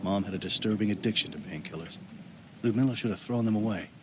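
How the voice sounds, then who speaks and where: A brooding man played by a male actor muses to himself in a story-driven game. His voice sits fairly low and stays subdued, plain and weary. Noticeable noise runs underneath. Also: fast talker, close to an everyday voice. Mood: grim, reflective. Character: brooding, world-weary man returning to his childhood home. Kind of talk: scripted game narration, inner monologue. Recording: clear background noise under the voice.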